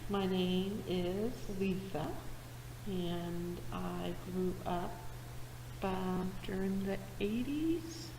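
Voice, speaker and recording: A woman's voice: fairly low pitch, extremely slow, echoey room, big-room sound, noisy background. A woman in her forties, briefly introducing herself as a panel guest.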